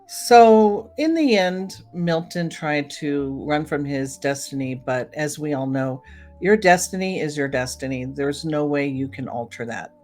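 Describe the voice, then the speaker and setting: A woman hosting a solo podcast in a casual, chatty way, talking directly to her listeners. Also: sits low. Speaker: podcast host. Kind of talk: casual solo podcast commentary on old time radio.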